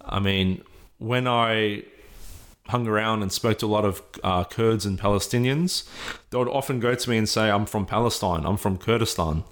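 Interview guest, man, low voice, patient and earnest, explains step by step. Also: moderate pace, a bit bright. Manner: explains patiently, often checks with "right?".

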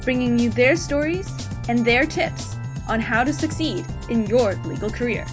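A woman's voice: on the high side, moderate pace. A woman reading a scripted promotional introduction for a show as its announcer. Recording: slightly dull audio under music. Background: prominent intro music.

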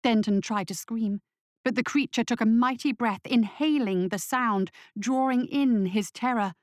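A woman reading scripted horror fiction as story narrator in a measured, dramatic manner. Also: on the high side, dry recording, clean studio sound.

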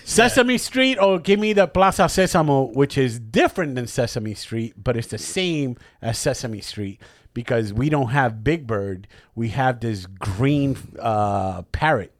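A man's voice: mid-range voice, expressive, measured pace, few pauses, no room sound, clean studio sound. A man hosting a casual podcast, joking and swearing as he slips between English and Spanish. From born in New York, raised in Puerto Rico. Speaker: podcast host. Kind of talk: casual bilingual podcast chat.

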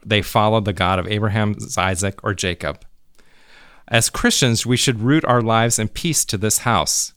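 Smooth, low-pitched man reading scripture. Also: a bit bright, dry recording, clean studio sound. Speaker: church music director, scripture reader. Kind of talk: scripture reading, brief devotional and prayer.

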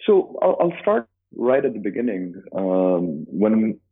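Man, from Dubai, interview guest, halting with fillers, deep voice, phone-line sound. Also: big pitch swings, breathy edge. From Dubai, UAE. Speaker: guest, business co-founder. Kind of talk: casual interview answers. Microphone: phone call.

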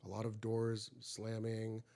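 A man's voice: lowish voice, monotone, takes it slowly, creaky, dark tone. A man hosting a casual podcast in a studio, chatting and joking in a loose, rambling way. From Egypt. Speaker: podcast host.

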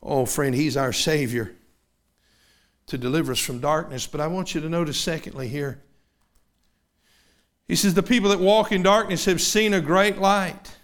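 A man, preaching a sermon. His delivery swings from loud, fervent preaching to quiet personal asides. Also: on the high side, normal speed, pauses often. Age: 50s.

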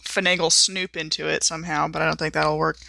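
A woman's voice: low-pitched, flat delivery, fast talker, vocal fry, sharp tone, slight background noise. A woman playing a tabletop game, playful and laughing. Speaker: player. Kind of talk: casual play at a tabletop game.

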